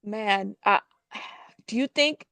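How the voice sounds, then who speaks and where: A woman hosting a casual live news commentary, chatting to viewers in an informal, halting way with emphatic repetition. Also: mid-range voice, a bit bright, no room sound.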